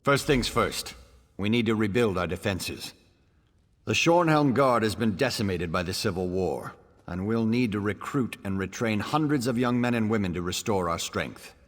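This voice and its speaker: Proud fantasy nobleman, deep voice, commanding, formal, ambitious, game dialogue, long reverb. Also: lively intonation, moderate pace. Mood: businesslike. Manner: formal, resolute. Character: proud, ambitious, firm-handed baron, military-minded. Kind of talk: fantasy video game voice acting. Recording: echoing hall-like reverb.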